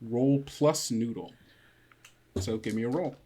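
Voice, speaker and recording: A man narrating a tabletop game as game master, informal and halting as he improvises the scene. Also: medium pitch, warm tone, a little hiss or hum.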